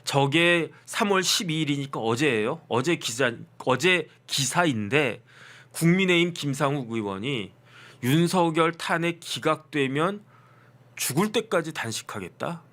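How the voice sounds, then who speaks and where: Middle-aged male lawyer-host, higher-pitched voice, wry commentary that poses questions and answers them. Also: animated, a little slow, fairly bright tone. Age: 50s. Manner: rhetorical questions answered himself, wry asides. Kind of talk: casual political commentary.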